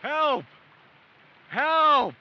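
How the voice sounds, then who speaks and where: A man's voice: very high-pitched, lively intonation, very slow, highs cut off, heavily acted. An actor playing a protective, desperate young man in an audio drama, pleading urgently and reassuring. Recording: very noisy outdoor water ambience.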